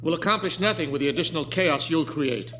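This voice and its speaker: A man's voice: on the high side, animated, quick pace, pushed and bright, faint music behind. A man in a radio drama playing a bitter, stern lab director who speaks curtly and with authority. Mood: commanding. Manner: curt, authoritative. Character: stern, bitter, commanding male scientist running a lab.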